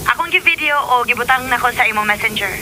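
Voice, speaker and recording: Woman acting excitable young daughter, high voice, bubbly, sweet, radio drama, hissy noisy audio. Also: fast, lightly acted. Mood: happy, affectionate.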